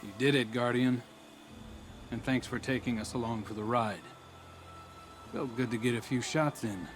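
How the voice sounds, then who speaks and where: An actor playing an earnest, caring comrade in a video game, speaking informally and reflectively with gratitude. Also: male voice, mid-range voice, normal speed, natural voice. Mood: warm, wistful. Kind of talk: video game story monologue. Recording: music behind the voice.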